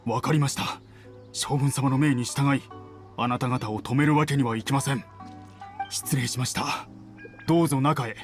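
A man's voice: mid-range voice, fairly even intonation, moderate pace, long pauses, soft background music, natural voice. A man voicing a dutiful palace guard in a Japanese game, speaking in a polite, stiff, official manner. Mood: deferential. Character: dutiful, formal young male palace guard. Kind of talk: Japanese anime game voice acting.

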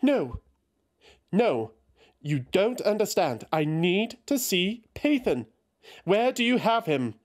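A man's voice: sits high, sing-song, slow, pitched above the performer's own voice, heavily acted. A man voicing a distraught young woman who pleads and refuses to accept a death, in an insistent, pleading manner. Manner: pleading, insistent, rising to shouts.

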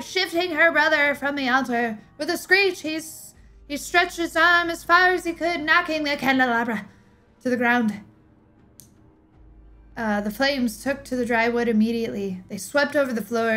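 Young female, higher-pitched, dramatic lore narration with weighty pauses, recorded close over faint music. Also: fairly bright tone. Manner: theatrical, drawn-out emphasis with pauses. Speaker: streamer reading game lore aloud. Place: small indoor room, livestream setup.

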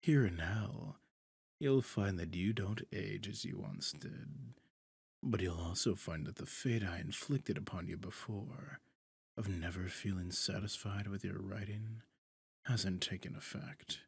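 A possessive demon lord for an ASMR roleplay, performed by a man. His voice is very deep and gravelly, close and dry. He speaks with pompous, smug deliberation, sinking into breathy half-whispers. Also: a little slow, dry recording, exaggerated voice. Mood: commanding, condescending. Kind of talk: scripted ASMR roleplay monologue.

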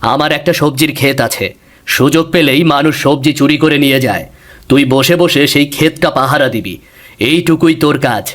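A generous village landowner from a cartoon tale, acted by a man. He sounds encouraging and sympathetic, with a slightly high male voice. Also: normal speed, a bit bright, lightly acted. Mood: reassuring.

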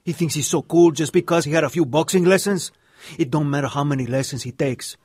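Radio drama bully: young, violent older brother, husky, mocking, higher-pitched. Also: male voice, brisk, darkish tone, no echo. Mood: sneering. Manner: sneering, mocking.

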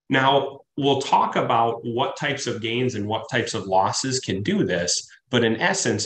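A man's voice: mid-range voice, fairly bright tone. A man with a cold-stuffed voice, a financial planner giving a conversational webinar full of "right?" and "uh". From Midwest US. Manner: conversational, frequent "right?" and "uh" fillers. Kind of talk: webinar presentation. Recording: roomy office echo.

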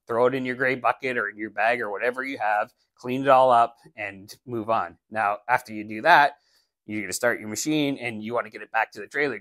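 A man's voice: mid-range voice, dry recording. A man in his forties who runs a landscaping business, giving a casual how-to talk to camera, blunt and practical, on a lapel mic in a small studio. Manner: blunt, practical, animated gestures while talking. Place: indoor studio, plain backdrop.

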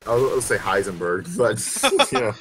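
A man's voice: mid-range voice, huge pitch swings, normal speed, a crowd in the background. A man reading a story aloud informally, stumbling now and then over the text and speaking in a casual, amateur way. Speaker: reader of fan fiction over gameplay.

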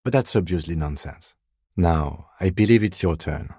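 An actor playing a calm, methodical middle-aged police lieutenant in a video game, measured, understated and dryly wry, with a husky voice. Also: male voice, low voice, no echo, studio quality. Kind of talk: video game character dialogue.

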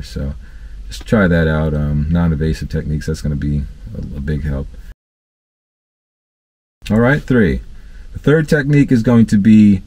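A man in his thirties, an HVAC technician, giving casual training tips to camera from his work van, relaxed and conversational with many fillers and restarts. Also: low voice, dry recording. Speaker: HVAC technician and channel host. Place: parked work van, seated in driver's cab.

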